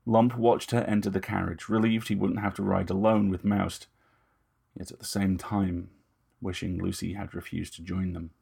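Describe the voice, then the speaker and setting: A man's voice: low voice, little pitch movement, moderate pace, vocal fry, a little mellow, slightly rough. A man reading scripted dramatic fiction as narrator.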